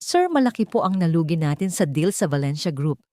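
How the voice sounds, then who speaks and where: A woman's voice: sits low, very animated, a little fast, warm tone, no room sound, clean studio sound. Woman reading as an anxious, deferential finance manager. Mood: worried. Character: worried, deferential finance manager reporting bad news.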